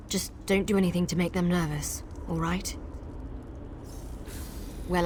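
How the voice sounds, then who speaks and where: A woman's voice: on the low side, noisy background, lightly acted. A woman voicing a determined, practical wood elf guide in a video game, purposeful and instructive.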